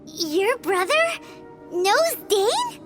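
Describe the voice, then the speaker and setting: A woman voicing a tiny, excitable, loyal childlike female companion in a video game, with a squeaky voice and an exclamatory, inquisitive manner. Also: drawn-out words, exaggerated voice. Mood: baffled, questioning. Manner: exclamatory, refers to self in third person. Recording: loud music under voice.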